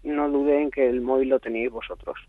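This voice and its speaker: A man's voice: medium pitch, fairly even intonation, fast talker, a loud crowd. A man with an accent from Spain, arguing bluntly and defiantly on the telephone, with swearing. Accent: Spanish, from Spain. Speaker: prank call victim. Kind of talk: heated phone argument. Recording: dull muffled telephone line.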